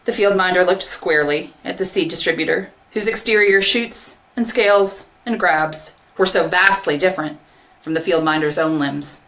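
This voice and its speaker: A woman's voice: fairly low pitch, normal speed, fairly bright tone, low-quality recording. A woman English teacher reading a short story aloud as scripted narration.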